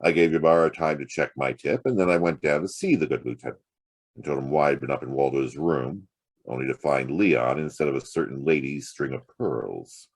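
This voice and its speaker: An actor playing a wry, streetwise private detective in a hard-boiled audio drama, with a sardonic, conversational delivery. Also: male voice, a bass voice, fairly quick. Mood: weary storytelling. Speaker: actor narrating and playing the lead.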